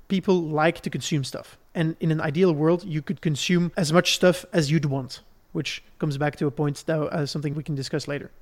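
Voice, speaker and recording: A man from Belgium explaining a subject in a conversational podcast style, laying it out step by step and checking in as he goes. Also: fairly high pitch. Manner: didactic, step-by-step, checks in with "right?". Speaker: podcast host explaining investing.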